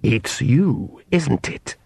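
An aristocratic male vampire patriarch played by a male reader in a fantasy audiobook. He speaks with pompous, exasperated authority and lively, patronising swings of pitch. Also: extremely slow, vocal fry, breathy edge, no room sound, heavily acted. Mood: imperious, irritated. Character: lordly male vampire Count, imperious exasperated father.

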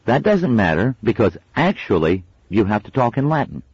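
A man's voice: medium pitch, big pitch swings, moderate pace, darkish tone, breathy edge, dry recording, muffled recording, voice pushed up. A man voicing a complaining young boy in a storybook reading. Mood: grumbling. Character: grumbling young boy, gang member.

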